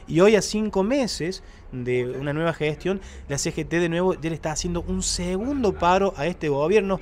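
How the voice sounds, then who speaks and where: Argentine reporter pressing leading questions. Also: male voice, fairly high pitch, a little hiss or hum. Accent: Argentine Spanish. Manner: argumentative, long leading questions. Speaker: street reporter. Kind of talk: pointed interview questions. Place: city street outdoors.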